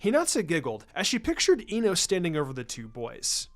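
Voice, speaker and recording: A woman narrates a story over background music. Her pitch moves around a lot, giving the scripted reading an animated, expressive shape. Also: a little fast. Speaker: fan fiction reader.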